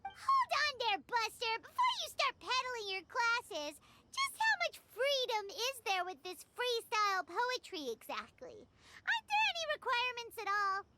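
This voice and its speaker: A woman voicing a small, excitable, cheeky childlike fairy companion in a video game, with a squeaky voice and a blunt way of talking. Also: unhurried, very noisy, heavily acted. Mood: cheeky, challenging. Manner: speaks of self in third person, blunt. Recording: music behind the voice.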